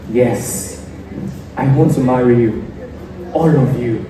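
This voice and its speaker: A young man acting an earnest, commanding king in a stage play, speaking in measured phrases. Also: medium pitch, crowd noise, close to an everyday voice. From Nigeria. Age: young adult. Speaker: student stage actor. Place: theatre stage. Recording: echoey, noisy stage from far off.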